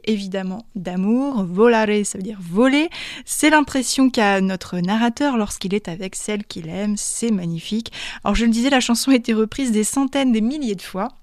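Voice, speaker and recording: A woman's voice: fairly high pitch, no room sound. Playful radio presenter woman, dry studio. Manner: playful, teasing, laughs often. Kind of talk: lively radio music chat.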